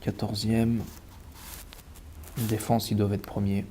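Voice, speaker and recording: Guy with a cold, low flat mumbly voice, thinking out loud while eating, bit of background hiss. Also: takes it slowly. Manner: mutters and repeats words while checking stats. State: has a cold. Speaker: sports betting analyst. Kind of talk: casual thinking-aloud match analysis.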